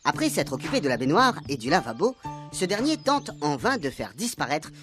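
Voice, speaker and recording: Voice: mid-range voice, sing-song, fairly quick. A narrator telling a radio serial recap in a tongue-in-cheek storytelling way. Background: music.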